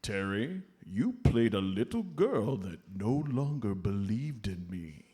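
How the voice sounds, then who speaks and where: Man playing a slow, animated, jolly Santa Claus. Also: lowish voice. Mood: gently scolding. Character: jolly Santa Claus, avuncular, gently scolding and fond. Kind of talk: live comedy radio play. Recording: live stage comedy show recording.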